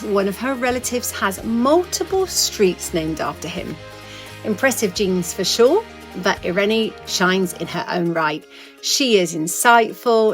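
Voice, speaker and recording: A woman with an English accent hosting a podcast interview, enthusiastic and laughing often. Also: mid-range voice. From England. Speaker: podcast host.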